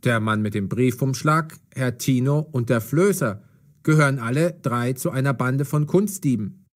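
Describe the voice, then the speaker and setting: An actor playing a police detective in an audio drama, commanding and mocking but fair. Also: male voice, mid-range voice, moderate pace, lightly acted. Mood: matter-of-fact. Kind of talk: audio drama for language learners.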